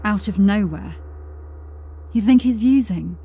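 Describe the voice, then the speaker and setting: Female watch constable: mellow, dry, observant, fantasy radio-play dialogue. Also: medium pitch, measured pace, husky, exaggerated voice. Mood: deadpan, alert. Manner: deadpan, understated. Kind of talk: fantasy audio drama acting.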